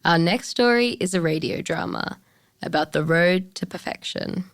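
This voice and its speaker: A woman's voice: on the low side, moderate pace, no echo, clean studio sound, faint music behind. A woman presenting a radio show, reading a scripted introduction.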